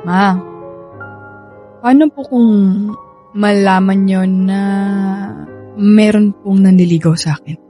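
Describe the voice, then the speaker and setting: A woman's voice: mid-range voice, slow, pauses often, dark tone, soft background music, lightly acted. An actress playing a defensive young woman torn in love, with a Filipino accent, in a radio drama. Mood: nervous, hesitant. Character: young woman daughter torn between two loves, defensive.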